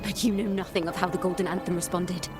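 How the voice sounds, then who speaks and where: An actress voicing a resolute, defiant young heroine in a video game, earnest and dramatic. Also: female voice, on the low side, level tone, quick pace, music in the background, natural voice.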